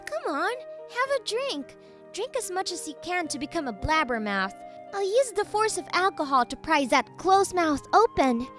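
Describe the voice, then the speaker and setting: A woman's voice: extremely high pitch, big pitch swings, moderate pace, a bit bright, soft background music, full character voice. A woman voicing a bossy, teasing young female boss and jilted ex in an anime-style manga dub, commanding and needling.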